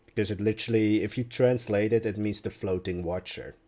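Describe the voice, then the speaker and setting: A man's voice: on the low side, steady tone, a little fast, mellow. A young man whose first language is Dutch, chatting casually on a live stream as he builds, musing aloud and answering viewers' questions.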